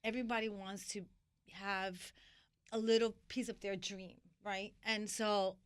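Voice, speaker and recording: A middle-aged woman from New York, a magazine founder telling her story as an interview guest, animated and full of fillers and restarts. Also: medium pitch, measured pace, smooth, no echo. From New York (Washington Heights).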